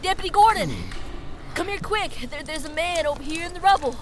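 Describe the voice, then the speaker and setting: An excited townsperson in a western audio drama. Her very high voice calls out urgently in alarm. Horses and street ambience are clearly audible around her. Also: fairly quick, noisy background.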